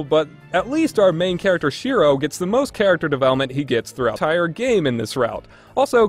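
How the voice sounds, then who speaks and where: A man narrating a scripted countdown review as a video game reviewer, informal and opinionated. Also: fairly high pitch.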